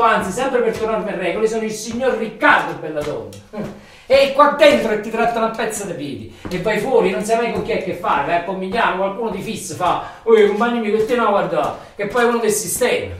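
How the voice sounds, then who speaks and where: A man's voice: sits high, fairly bright tone. A man with a Neapolitan accent playing an embittered, rambling factory worker in a theatrical monologue, colloquial and repetitive. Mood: indignant, then defiant, frustrated. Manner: colloquial, repetitive, ranting and muttering. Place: echoey room or hall, far from the mic. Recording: echoey, distant stage recording with faint noise.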